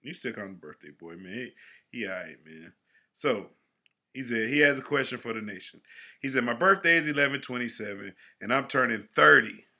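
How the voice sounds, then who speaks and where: A man in his forties co-hosting a podcast in casual chat, slangy and animated, trailing off quietly at the ends of phrases. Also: medium pitch, fairly quick. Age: 40s. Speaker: podcast co-host.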